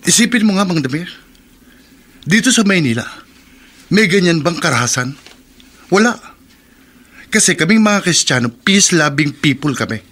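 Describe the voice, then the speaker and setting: A man's voice: a little high, animated, moderate pace, pauses often, a bit bright, husky. An actor playing an angry, accusing young man with a confrontational manner in a Filipino radio drama.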